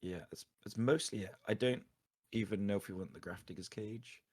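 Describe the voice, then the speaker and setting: Low-voiced, slightly husky man coaching gameplay. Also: a little fast, a little mellow. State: tired voice going hoarse. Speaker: guest player coaching a card game stream. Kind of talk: casual live game commentary.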